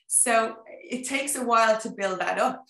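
A woman's voice: on the high side, a little slow, vocal fry. A woman in her thirties with a strong Dublin Irish accent, chatting animatedly as a guest on a video call. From Ireland. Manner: chatty and animated, gestures, frequent "you know". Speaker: guest, business coach. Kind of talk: casual video-call interview. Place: home office, indoors. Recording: echoey room.

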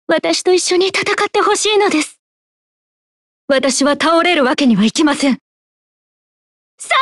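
Youthful, solemn goddess for an anime game. High, measured, formal and resolute. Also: female voice, dry recording, studio quality. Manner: formal, dignified, polite. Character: young goddess of war, solemn, gentle and resolute. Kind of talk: anime battle game voice lines.

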